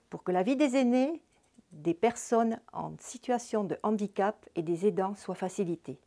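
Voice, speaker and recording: A woman delivering a scripted, formal New Year's address as a local social service official, in a measured read-out manner. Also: mid-range voice, dark tone.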